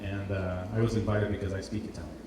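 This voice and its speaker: A man's voice: low-pitched, little pitch movement, fast. A man from Portugal giving an informal presentation as a designer, speaking hesitantly and repeating words as he searches for phrasing. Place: indoor event space with heavy echo. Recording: roomy, distant, noisy live hall recording.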